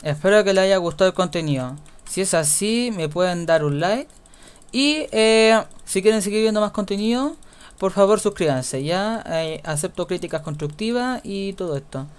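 A young man with a Chilean accent, giving casual gameplay commentary in a quiet mutter that repeats words in runs. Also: high-pitched, moderate pace, clean tone. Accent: Chilean Spanish. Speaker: gaming YouTuber.

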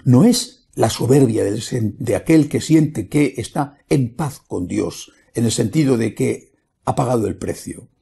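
Gravelly middle-aged priest preaching. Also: male voice, mid-range voice, lively intonation, unhurried, warm tone. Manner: earnest preaching with repetition and rising emphasis. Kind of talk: homily. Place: church sanctuary.

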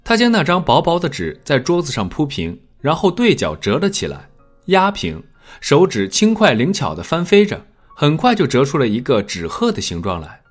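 A man's voice: a little high, wildly swooping pitch, normal speed. A man narrating a novel as a scripted, dramatic audiobook. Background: background music.

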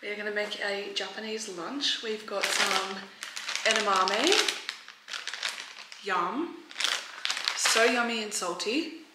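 A woman's voice: mid-range voice, takes it slowly, pauses often. A woman, chatting casually to camera while she cooks, full of "like" and fillers. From Australia. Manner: chatty, filler-heavy with frequent "like". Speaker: vlogger. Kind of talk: casual vlog chat. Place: home kitchen, indoors. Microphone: camera mic, handheld or propped close. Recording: echoey kitchen with clear background noise.

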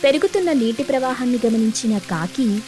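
A woman's voice: a little high, animated, fairly quick, a little mellow. A woman storyteller reading scripted narration for a children's cartoon tale.

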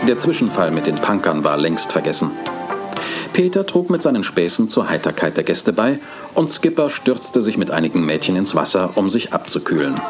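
A man narrates a children's radio play in a calm, storytelling manner. His voice is very low but moves with lively intonation. Music plays underneath. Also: moderate pace.

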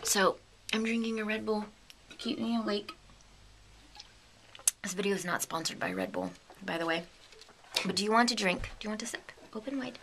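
A woman's voice: medium pitch, animated, normal speed, pauses often, noisy background. A young woman in her twenties vlogging in a casual, rambling, giggly way, sleepy and a bit delirious, sometimes dropping to a whisper, filmed up close on a handheld camera in a small home studio. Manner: bubbly, rambling, giggly, drops to a whisper at times. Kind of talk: casual vlog chat. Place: home video studio with a big red chair and green screen. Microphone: handheld camera held close to the face.

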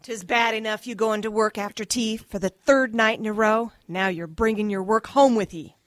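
A woman's voice: mid-range voice, fairly even intonation, moderate pace, fairly bright tone, dry recording, studio quality, lightly acted. A woman playing a no-nonsense, nagging working-class English mother in a radio drama. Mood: harried. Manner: brusque, nagging.

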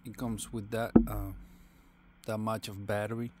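A man reviewing a product casually, thinking aloud in short, halting fragments. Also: lowish voice, level tone, a little mellow, slight background noise.

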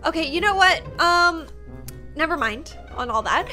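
A woman in her twenties hosting a gaming video, narrating a roleplay in an excitable, exaggerated way on a close mic. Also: extremely high pitch, moderate pace, faint music behind. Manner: expressive, exaggerated. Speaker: gaming YouTuber, host. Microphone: close studio mic on boom arm.

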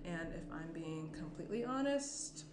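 A woman's voice: sits low, animated, moderate pace. Actress as guarded, wry young woman in an indie drama read, natural delivery, echoey room, faint noise. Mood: tense, awkward. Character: tense, guarded young woman, sarcastic morning-after guest. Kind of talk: naturalistic screenplay table read. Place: small indoor room at a table. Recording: roomy, faint background noise.

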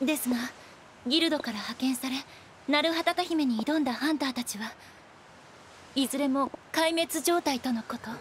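A woman's voice: high voice, moderate pace, slight background noise, natural voice. A woman voicing an earnest, dignified village attendant in a video game, speaking formally and solemnly. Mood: grave report. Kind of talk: dramatic Japanese video game acting.

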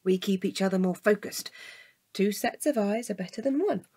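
Dry, deadpan woman, slightly husky. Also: medium pitch, normal speed. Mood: matter-of-fact. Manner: deadpan, matter-of-fact. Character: dry, exasperated, level-headed woman, the sensible partner. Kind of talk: comic audio drama dialogue.

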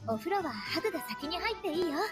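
A woman voicing a caring, cheerful young woman in a game, gentle and doting, with clear game music behind her. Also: very high voice, very animated, racing. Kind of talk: Japanese game voice acting.

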